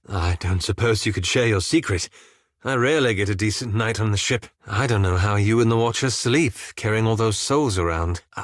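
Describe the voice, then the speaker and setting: Casting: male actor for reserved elven mage companion, fantasy game. Medium pitch, articulate, polite, wry and a touch fussy. Dry studio sound. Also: no echo, lightly acted. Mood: polite, lightly wry. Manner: formal, articulate, measured, faintly fussy. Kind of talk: scripted fantasy game dialogue.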